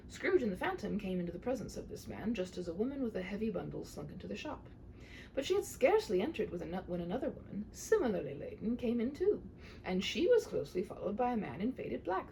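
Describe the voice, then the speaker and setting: A woman's voice: medium pitch, creaky, warm tone, a little hiss or hum, poor audio. A woman in her thirties reading a story aloud expressively, with dramatic delivery and wry, casual asides. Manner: dramatic reading, wry self-interrupting commentary. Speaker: storybook reader.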